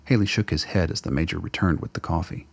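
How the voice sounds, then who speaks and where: Deep guy narrating an audio drama, husky fry in the voice, scripted read. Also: fast talker, no room sound. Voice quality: gravelly, husky.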